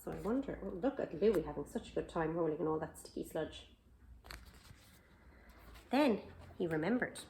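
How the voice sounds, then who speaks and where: A woman reading a picture book aloud to children, expressively, with questioning asides and drawn-out emphasis. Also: fairly low pitch, expressive, mellow, slight background noise, low-quality recording. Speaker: library storytime reader.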